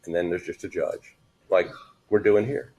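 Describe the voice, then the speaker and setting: Halting lawyer with a lowish voice. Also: male voice, darkish tone. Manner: halting, many restarts and fillers, folksy. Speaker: attorney questioning a witness. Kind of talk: courtroom examination.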